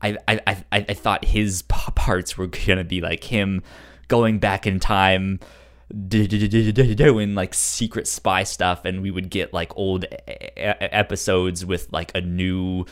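Lowish-voiced man with stuttering, halting speech. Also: flat delivery, clean tone. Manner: halting, stuttering repetition of sounds and words. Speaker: podcast co-host. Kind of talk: casual chat.

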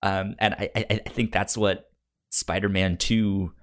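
A low-pitched male podcast co-host chats casually and argues his opinions. His speech is halting, with frequent stutters on repeated syllables, circling back as he builds a point. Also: little pitch movement, a bit bright. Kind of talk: casual debate chat about movies.

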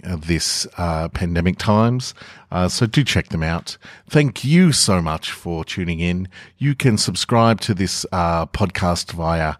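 A man hosting a podcast, narrating a story in a gentle, unhurried way and adding loose fillers when he speaks off script. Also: low-pitched, no room sound, studio quality.

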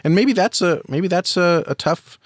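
A man's voice: high-pitched, moderate pace, no room sound, clean studio sound. A man speaking as an interview guest, giving earnest, explanatory advice in a conversational way. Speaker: podcast guest, audio drama creator and reviewer.

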